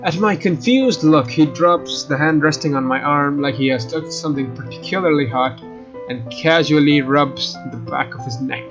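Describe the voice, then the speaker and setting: A man with a fairly high voice reads visual novel narration aloud as a let's play host. The recording is dull and lo-fi, with guitar music playing clearly behind him. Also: normal speed. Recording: dull, lo-fi microphone sound. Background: guitar game music.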